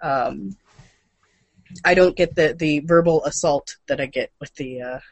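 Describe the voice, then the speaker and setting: A man's voice: a little high, a little slow, dry recording. A man co-hosting a casual gaming and comics news chat, informal and a little sweary in how he talks. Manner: informal, mildly profane. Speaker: show host.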